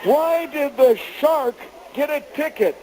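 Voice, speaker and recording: A man's voice: very high voice, unhurried, warm tone. A man voicing a cheerful old grandpa who tells corny jokes on a children's radio show. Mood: corny, chipper. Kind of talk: children's morning radio comedy bit. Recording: noisy live radio aircheck.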